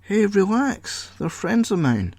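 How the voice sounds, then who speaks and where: A man's voice: high voice, big pitch swings, slow pace, a little mellow, no room sound, clean studio sound, voice pushed up, exaggerated voice. A man voicing an easygoing young man who talks in a hesitant, apologetic way. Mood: placating. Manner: apologetic, hesitant, laid-back.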